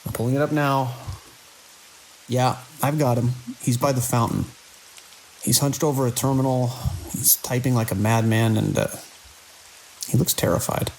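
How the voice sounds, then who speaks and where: An actor playing a grim, embittered security chief in an audio drama, speaking in terse, grave and urgent lines. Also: male voice, mid-range voice, fairly even intonation, normal speed, pauses often, darkish tone, lightly acted, rough recording. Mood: urgent, alarmed. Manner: terse, grave official log-keeping and urgent radio talk. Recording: faint hiss with rain-like noise.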